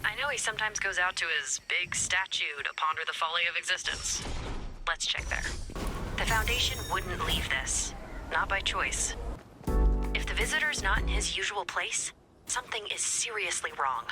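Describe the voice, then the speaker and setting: An actress playing a commanding, focused resistance leader in a video game, speaking in an urgent, clipped manner. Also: female voice, on the low side, expressive, normal speed, lightly acted. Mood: concerned, focused. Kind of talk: scripted video game voice acting. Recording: music under the voice.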